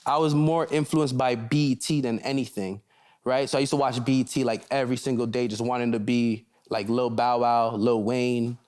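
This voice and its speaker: Casting: young man from Canada, laid-back rapper, loose conversational talk, mid pitch. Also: fairly even intonation, moderate pace, darkish tone. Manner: laid-back, loose, repeats "you see what I'm saying". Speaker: rapper guest. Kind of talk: casual interview chat.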